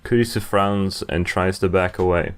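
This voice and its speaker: A man's voice: low-pitched, steady tone, normal speed, clear, resonant tone. A young man on a headset casually reading a visual novel aloud and commenting as he goes. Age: young adult. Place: indoor room at a computer. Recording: game music behind the voice.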